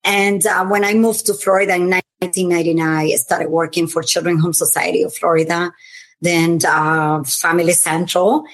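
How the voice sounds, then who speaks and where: A woman's voice: fairly low pitch, little pitch movement, moderate pace. A woman from the Dominican Republic, a nonprofit program officer, answering interview questions earnestly with many ums and uhs.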